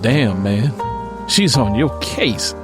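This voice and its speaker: A man's voice: mid-range voice, big pitch swings, slow pace, music in the background. A man voicing an easygoing, teasing husband and father in an audiobook story.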